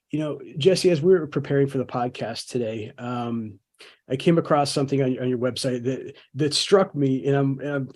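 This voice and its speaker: A man's voice: medium pitch, a little mellow. A man hosting a podcast, reflective, often stammering and repeating words as he thinks aloud. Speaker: podcast host. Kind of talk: conversational podcast interview.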